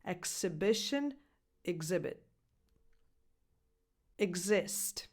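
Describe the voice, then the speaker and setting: A woman's voice: fairly low pitch, animated. Italian woman coaching English pronunciation. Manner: clear, deliberate, slows down to spell out words. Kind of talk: scripted video lesson.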